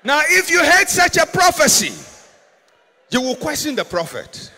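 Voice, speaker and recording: A Ghanaian man, an older pastor, preaching emphatically from a church auditorium stage into a handheld microphone, slowing to stress key words. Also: extremely high pitch, big pitch swings, sharp tone. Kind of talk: sermon. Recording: long auditorium reverb.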